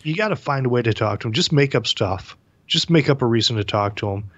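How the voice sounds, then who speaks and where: A man's voice: medium pitch, animated, fast, a bit bright, dry recording, lo-fi sound. A man co-hosting a political talk show over a video call, wry and joking, stumbling over repeated words. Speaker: co-host.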